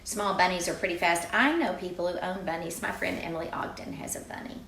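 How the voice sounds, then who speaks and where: An older woman reading a picture book aloud to children in an informal way, with chatty, exclaiming asides and drawn-out emphasis. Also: lowish voice, creaky, a bit bright, reverberant, slight background noise, poor audio.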